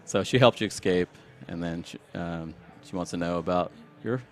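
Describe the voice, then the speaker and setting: A man from Sri Lanka, a game developer, walking through a demo of his game at a live event in a halting, filler-heavy way. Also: sits low. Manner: halting, many fillers and restarts. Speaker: game developer, studio founder. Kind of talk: casual demo walkthrough.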